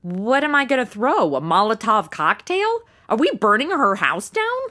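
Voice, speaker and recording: A woman's voice: a little high, sing-song, moderate pace, sharp tone. A woman playing a slangy, blunt, sarcastic modern young woman who is a detective's sidekick in a comedy audio drama. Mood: wry, questioning.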